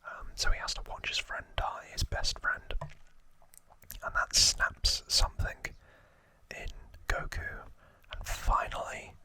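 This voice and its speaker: A man's voice: takes it slowly, sharp tone, no echo. Whispering man, ASMR trivia host.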